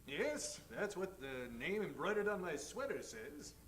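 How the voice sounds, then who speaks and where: A man's voice: high-pitched, big pitch swings, measured pace, fairly bright tone, full character voice. A man playing a pompous, breezily self-assured wealthy amateur detective in improvised old-time radio comedy. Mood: breezy, smug. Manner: pompous, theatrical. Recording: roomy live stage, distant mic, faint noise.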